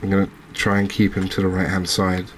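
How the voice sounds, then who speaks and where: A man giving gentle, unhurried ASMR commentary over a cozy fishing game, with hesitant, understated phrasing. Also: low-pitched, completely flat delivery, a little hiss or hum. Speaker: host, video game streamer.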